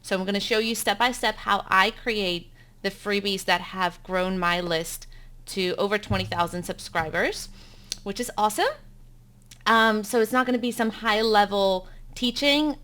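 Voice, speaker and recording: A woman's voice: mid-range voice, fairly even intonation, normal speed, fairly bright tone. A woman in her thirties from South Africa, Afrikaans her first language, with a South African accent, teaching bloggers in a chatty, upbeat live webinar from home, with frequent ums.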